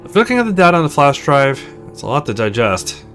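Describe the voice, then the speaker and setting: A man's voice: a little high, very animated, quick pace, a bit bright, soft background music. A man voicing an upbeat male legal advisor in a visual novel, formal and explanatory. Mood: measured.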